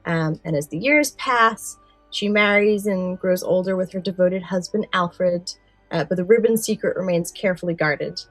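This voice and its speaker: Lowish-voiced female podcast co-host, dry sound. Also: moderate pace, no room sound, soft background music, low-quality recording. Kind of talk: casual podcast chat with some prepared narration.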